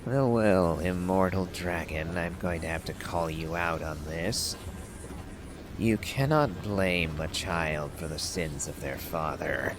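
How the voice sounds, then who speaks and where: A man's voice: low voice, takes it slowly, background noise. A man giving casual commentary on a game as he reads its story text aloud. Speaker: gameplay streamer reading game text aloud.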